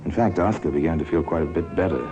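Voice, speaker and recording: A man's voice: very deep voice, fast, lo-fi sound. A man narrating a 1950s radio crime drama in a ruminative, wry, insinuating manner, as a knowing storyteller. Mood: ominous, measured. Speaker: narrator and host of a crime anthology. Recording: old radio recording with music.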